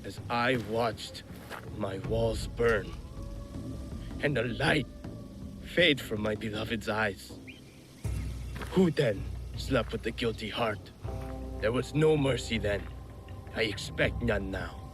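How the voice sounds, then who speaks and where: A man playing a proud, grieving, vengeful feudal Japanese lord in a video game cutscene, measured and poetic. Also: lowish voice, music in the background. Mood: bitter grief.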